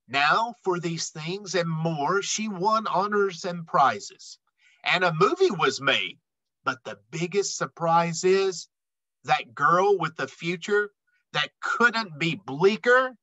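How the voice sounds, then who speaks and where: A middle-aged man reading a children's picture book aloud expressively, with emphatic, dramatic phrasing and drawn-out words. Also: on the high side, lively intonation, pushed and bright, no room sound, dull audio. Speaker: read-aloud storyteller.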